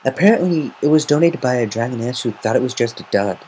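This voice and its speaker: An earnest, motherly young female unicorn from a story, acted out by a man. The voice is pushed up in pitch and speaks gently, explaining with care. The homemade recording has a little noise. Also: animated, fast talker, close to an everyday voice. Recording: noisy amateur home recording.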